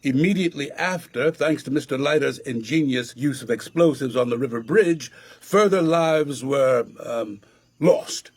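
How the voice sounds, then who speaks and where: A man acting a pompous, genial Jamaican Supreme Court judge in a radio drama, formal and halting in his delivery. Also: mid-range voice, close to an everyday voice.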